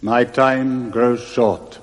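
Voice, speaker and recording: An actor playing a solemn ghostly spirit guide with an English accent, grave and measured, in a radio drama. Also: male voice, medium pitch, fairly even intonation. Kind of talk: 1960s British radio drama. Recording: long cavernous reverb.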